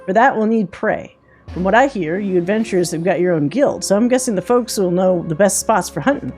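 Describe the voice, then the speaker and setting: A woman's voice: medium pitch, a little fast, a little mellow, natural voice. A woman voicing a folksy, confident hunter mentor from a fantasy game, with plain rustic phrasing, over music. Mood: encouraging. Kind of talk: reading game dialogue in character.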